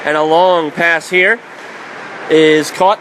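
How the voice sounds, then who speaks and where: A man's voice: a little high, steady tone, slow pace. A man doing live radio play-by-play of ice hockey in clipped, vivid phrases from a noisy arena. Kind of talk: live sports commentary. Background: clear arena background noise.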